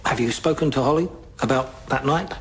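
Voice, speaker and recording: An actor with an English accent playing a middle-aged, steady police detective who asks plain, probing questions in an audio drama. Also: male voice, mid-range voice, a little fast, a little hiss or hum, lightly acted. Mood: questioning, measured. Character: seasoned, steady police detective sergeant, a family man. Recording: faint background ambience.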